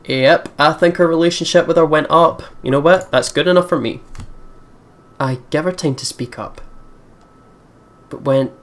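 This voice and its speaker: A man's voice: a little high, moderate pace, long pauses, a bit bright. A man hosting a let's play, reading a visual novel aloud and adding chatty, playful asides to viewers.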